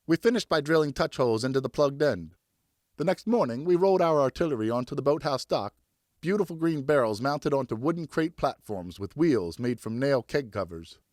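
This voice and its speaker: A man narrating an audiobook as a dramatic, theatrical storyteller with expressive scripted delivery. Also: mid-range voice, a little fast, no echo, studio quality. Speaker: audiobook narrator, professional voice actor.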